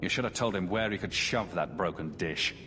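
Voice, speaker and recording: A man playing a blunt, steady adventuring champion in a video game cutscene, speaking directly. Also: deep voice, a little fast, background noise, close to an everyday voice.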